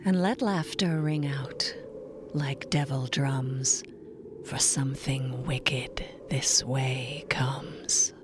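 A woman performs an ominous storyteller in a low, breathy whisper. The eerie narration creeps along very slowly, with clear noise behind it. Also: fairly even intonation, exaggerated voice. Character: ominous spooky storyteller reciting rhymed prologue. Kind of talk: slow, eerie scripted narration.

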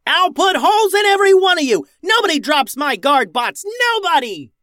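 A man voicing Captain Max, a bold, hot-headed woman who commands a starship crew and shouts her orders, in a storybook reading. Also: fairly low pitch, big pitch swings, a little slow, no echo, studio quality, voice pushed up. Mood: shouting orders.

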